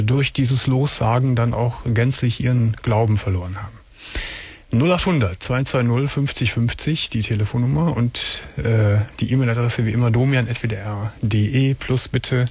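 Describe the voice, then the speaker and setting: Calm guy hosting a late night radio call-in, bit husky, mid pitch, patient questions. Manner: calm, measured, gently probing. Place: radio studio.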